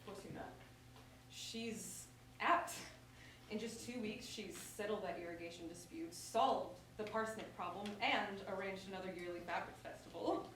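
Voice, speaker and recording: A woman playing a brisk, bossy but affectionate servant wife in a staged reading of a verse comedy. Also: medium pitch, fairly bright tone, close to an everyday voice. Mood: earnest, loyal. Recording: very echoey, noisy room from far off.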